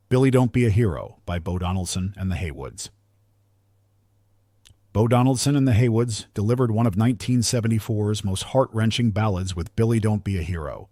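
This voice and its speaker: A man's voice: lowish voice, mellow, no room sound, studio quality. A man narrating a scripted countdown in a polished, earnest delivery, as the narrator.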